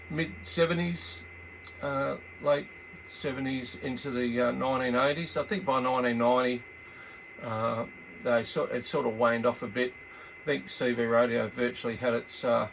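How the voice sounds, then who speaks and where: A middle-aged or older Australian man giving a casual, unscripted product walkthrough in a laid-back, halting, rambling way. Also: medium pitch, gravelly, fairly bright tone, slight background noise, rough recording. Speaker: hobbyist radio reviewer.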